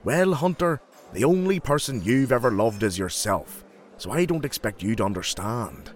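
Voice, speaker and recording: A man playing a sentimental, gentle young friend who speaks frankly, in an audio drama. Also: medium pitch, lively intonation, moderate pace, close to an everyday voice. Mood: frank, concerned.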